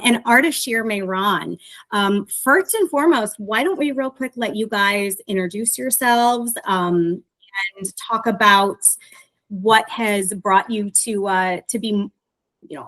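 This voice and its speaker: A woman hosts a panel discussion over a video call as moderator. She is polite and appreciative toward her guests, with a mid-pitched voice. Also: measured pace, a bit bright, poor audio. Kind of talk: moderating an online author panel.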